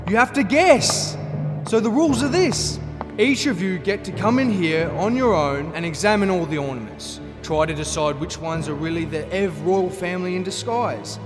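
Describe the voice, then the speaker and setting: A man's voice: high voice, normal speed, natural voice. An actor playing a gleefully cruel nome king in a fantasy audio drama, with a mocking, theatrical delivery. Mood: smug taunting. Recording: long hall reverb, music underneath.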